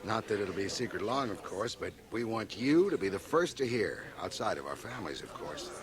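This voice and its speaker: An actor playing a self-satisfied young officer with a hearty manner in a radio drama. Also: male voice, on the low side, very animated, fairly quick. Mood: smug, hearty. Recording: crowded hall scene on cassette radio drama. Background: crowd chatter.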